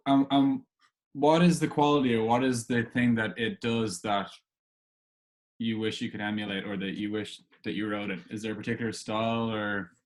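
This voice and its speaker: A man hosting a casual interview, informal and a little halting as he phrases his questions. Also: lowish voice, low-quality recording. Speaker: podcast host.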